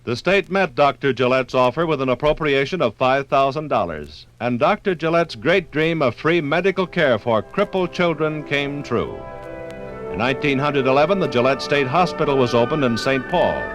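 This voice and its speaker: A man's voice: medium pitch, animated, a bit bright, low-quality recording. Formal 1950s American radio narrator, a man. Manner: formal, measured, dramatic emphasis. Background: faint music.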